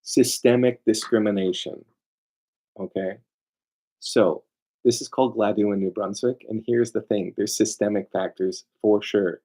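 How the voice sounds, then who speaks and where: A man's voice: lowish voice, steady tone, unhurried, mellow. A man giving an informal lecture as a lawyer, emphatic and digressive, often throwing rhetorical questions at his listeners. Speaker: lawyer presenting a seminar.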